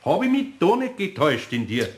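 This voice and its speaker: A man's voice: on the high side, sing-song, fairly quick. An actor playing a good-natured older master craftsman with a Bavarian accent and a homely, muttering manner in a children's radio play. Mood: amused, approving.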